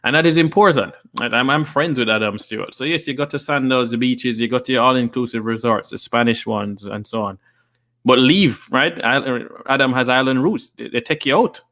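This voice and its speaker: A man's voice: mid-range voice, brisk, fairly bright tone. A young Jamaican man with a Jamaican accent hosting a casual livestream, reading viewer comments aloud and reacting with enthusiasm. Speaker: livestream host.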